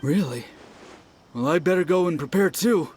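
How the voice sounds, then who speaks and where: A man playing a curious, credulous young townsperson in a video game, with a husky voice. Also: a little high, expressive, moderate pace, a little mellow, a little hiss or hum, lightly acted. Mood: curious, eager.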